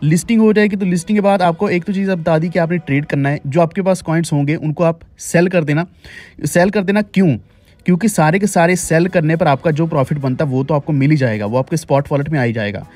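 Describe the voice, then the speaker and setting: A man's voice: on the high side, quick pace, a little mellow. A man hosting a crypto channel, explaining a screen recording in a repetitive, urging way. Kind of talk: screen-recorded tutorial talk.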